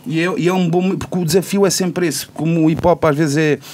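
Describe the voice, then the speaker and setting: Casting: male musician, slightly high voice, casual explainer who repeats himself searching for words. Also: steady tone, a little fast, a little mellow. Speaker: musician, band member being interviewed. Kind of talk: casual explanatory interview talk.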